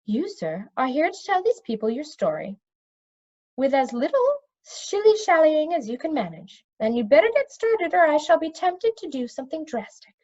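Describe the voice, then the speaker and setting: A woman's voice: high-pitched, sing-song, normal speed, a little mellow, lo-fi sound, voice pushed up. A woman voicing a stern, dry, commanding witch who speaks repressively, in a storybook reading.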